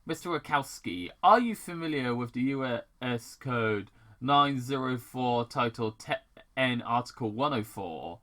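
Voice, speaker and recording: Man acting out an arrogant, sharp-voiced mad scientist. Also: medium pitch, lively intonation, measured pace. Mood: snappish. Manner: condescending, snappish. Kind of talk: amateur fan fiction read-aloud.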